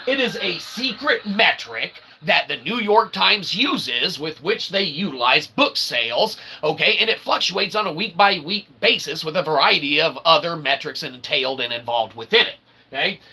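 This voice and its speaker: Bright, forceful man explaining to an audience. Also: a little high, expressive, normal speed, slightly rough. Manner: wordy pseudo-academic phrasing, punctuated by repeated "okay?" checks. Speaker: self-published author and channel host. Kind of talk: rambling explanatory monologue to an audience.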